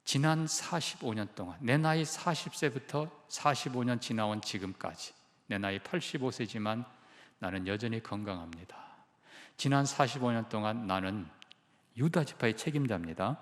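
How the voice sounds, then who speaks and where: A man's voice: medium pitch, moderate pace, long reverb tail. A male pastor preaching a sermon, emphatic and putting questions to the congregation. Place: church sanctuary.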